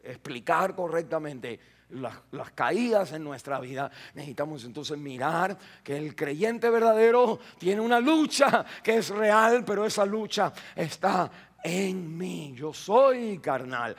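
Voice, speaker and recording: High-voiced pastor preaching with rising bursts. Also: male voice. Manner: preaching with rising bursts of emphasis, frequent repetitions and restarts. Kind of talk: sermon. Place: church sanctuary.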